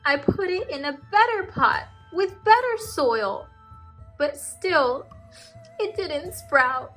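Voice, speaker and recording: Casting: woman as sad, honest storybook boy, very high tearful voice, slow sobbing delivery, music underneath. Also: animated, fairly bright tone, exaggerated voice, rough recording. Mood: tearful, ashamed. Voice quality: thin, high, whimpering. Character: tearful, ashamed, honest little boy gardener.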